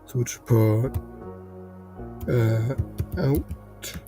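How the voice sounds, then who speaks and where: A man with a cold, his voice hoarse and congested, explaining programming in a rambling casual tutorial. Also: mid-range voice, extremely slow, music in the background. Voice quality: gravelly, congested. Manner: rambling, restarts with fillers.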